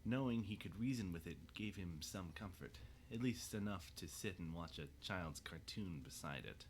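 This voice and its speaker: A man's voice: low-pitched, animated, moderate pace, a little hiss or hum. A man narrating a scripted audio drama as its storyteller.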